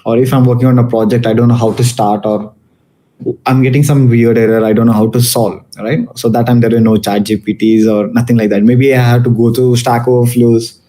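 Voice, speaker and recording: A man's voice: mid-range voice, level tone, fairly quick, warm tone. A young man from Malaysia giving a casual talk to students, with many fillers and restarts. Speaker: guest speaker.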